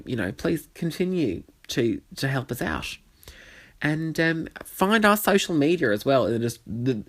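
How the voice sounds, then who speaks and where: Chatty, cheeky young man. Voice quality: nasal. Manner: chatty, cheeky and swearing, with lively pitch swings. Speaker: podcast host. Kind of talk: casual solo podcast chat. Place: bedroom.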